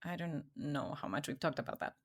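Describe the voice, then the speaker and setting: Chatty woman from Ecuador, lowish voice. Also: brisk, breathy edge. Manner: chatty, laughs often, trails off quietly at phrase ends. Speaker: podcast co-host. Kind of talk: casual conversational storytelling.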